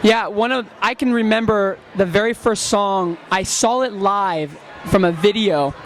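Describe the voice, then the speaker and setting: A male pop singer from Texas answering interview questions in a playful, animated way. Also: sits high, a little slow, slight background noise. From Texas, USA.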